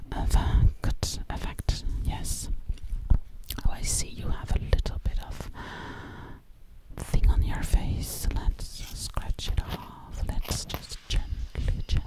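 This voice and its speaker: A woman's voice: lightly acted, low-quality recording. A woman playing a sassy, sinister witch in an ASMR roleplay, whispering breathily close to the microphone and lingering on repeated words. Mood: teasing, soothing. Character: sassy, vain, sinister witch who hunts people.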